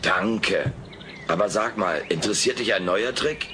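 A man's voice: fairly low pitch, unhurried, lightly acted. A man voicing a cheeky furry alien who boasts as an amateur magician in showy, pompous patter, in a comedic radio play. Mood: glib, wry. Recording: noisy old cassette transfer.

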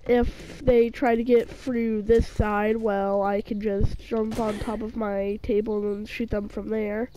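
A woman's voice: medium pitch, level tone, takes it slowly, few pauses, mellow, a little hiss or hum, rough recording. A woman talking over her survival game while sick, rambling with lots of fillers and restarts. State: sick, voice sounds off. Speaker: gaming channel host. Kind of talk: casual let's-play commentary.

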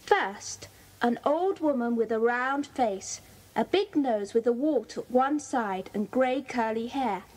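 A woman's voice: fairly high pitch, expressive, slow pace, darkish tone, poor audio. A woman with a British accent playing a keen, observant schoolgirl in a children's audio drama, describing things precisely.

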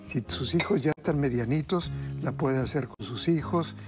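A man's voice: fairly high pitch, moderate pace, music in the background. A middle-aged man hosting a TV cooking show, chatty and folksy, talking to viewers while he cooks. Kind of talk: cooking demonstration. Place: TV studio kitchen set.

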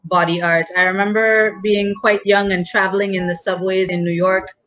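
A woman's voice: mid-range voice, little pitch movement, moderate pace, fairly bright tone, dull audio. A woman from Guyana answering interview questions over a video call, hesitant with many fillers and a dry humor. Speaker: guest. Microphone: video call webcam.

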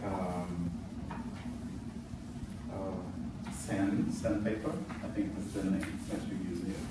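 A young Brazilian-accented man teaches a class, speaking slowly and haltingly with plenty of fillers. His lowish voice is caught by a camera at the back of a very echoey classroom. Steady room noise runs underneath. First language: Portuguese. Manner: hesitant, many fillers and restarts. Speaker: student teaching a practice class. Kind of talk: classroom lesson. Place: classroom with whiteboard. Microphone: far camera at back of room. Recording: echoey room from far off, noisy.

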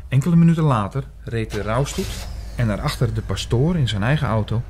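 A man playing an earnest investigator who tells his own story in an audio drama, in a measured way. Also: medium pitch, animated, darkish tone, slight background noise, natural voice. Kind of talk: scripted audio drama narration.

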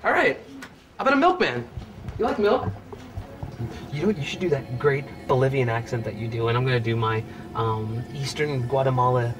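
A man's voice: mid-range voice, animated, fairly quick, pauses often, over music, natural voice, rough recording. An actor playing a nervous young hitman who rambles and stammers defensively. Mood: scheming.

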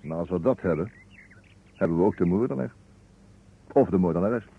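Shrewd, measured chief detective, husky, on a muffled vintage radio recording. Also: male voice, low-pitched, animated, exaggerated voice. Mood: musing, probing. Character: shrewd, unhurried male chief police detective. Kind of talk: old Dutch radio detective drama acting.

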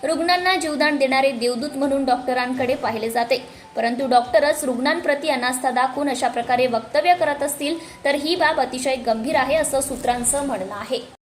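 A woman's voice: high-pitched, fairly quick. A woman reading scripted news in a formal, emphatic manner. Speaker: news presenter.

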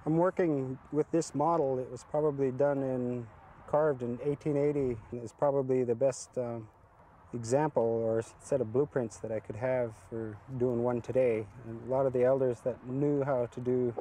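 Carver, man, mellow unhurried talk, outdoor ambience. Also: mid-range voice, slight background noise. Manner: plain, relaxed, with fillers. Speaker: canoe carver. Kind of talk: casual explanation.

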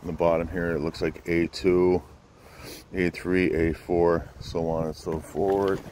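Very deep-voiced man vlogging outdoors in wind. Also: level tone, unhurried, darkish tone. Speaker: fireworks channel host. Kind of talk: casual product showcase vlog. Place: outdoors in a windy spot by a pickup truck bed. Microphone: handheld camera setup with an added mic. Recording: windy outdoor noise.

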